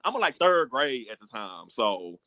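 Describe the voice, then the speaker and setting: A man's voice: medium pitch, sing-song, moderate pace, bright, forceful tone, no room sound. An American man talking casually with friends as a podcast host. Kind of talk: casual group podcast chat.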